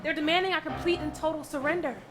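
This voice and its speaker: A woman's voice: sits high, fast talker, background noise, natural voice. A woman playing an earnest starship communications officer in a staged musical parody reading. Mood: earnest, alert.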